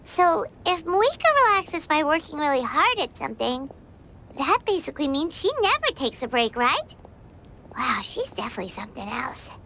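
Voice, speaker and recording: A woman voicing a tiny, excitable, childlike fairy companion in a video game, squeaky and eager. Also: moderate pace, gravelly, slight background noise, exaggerated voice. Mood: musing. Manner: squeaky, eager, speaks in third person. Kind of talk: video game story voice acting.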